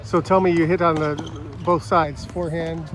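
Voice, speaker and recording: Loud upbeat man interviewing courtside. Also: a little high, flat delivery, normal speed, a crowd in the background. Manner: loud, upbeat, short affirmations.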